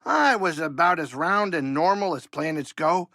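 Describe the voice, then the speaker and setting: An actor playing a fretful, chatty alien starship pilot and cook in a video game, a middle-aged grumbler with a kindly streak and a gravelly voice. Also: male voice, on the high side, normal speed, bright, forceful tone, no room sound, studio quality. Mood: excited. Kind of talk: video game character acting.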